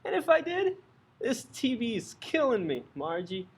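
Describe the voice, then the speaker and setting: A man's voice: very high-pitched, huge pitch swings, moderate pace, exaggerated voice. Man playing a swooping, boozy, bitter husband. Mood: bitter, anguished. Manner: melodramatic, gruff slangy ranting. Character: bitter, boozy working-class husband in gloomy melodrama parody. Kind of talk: old-fashioned radio play acting.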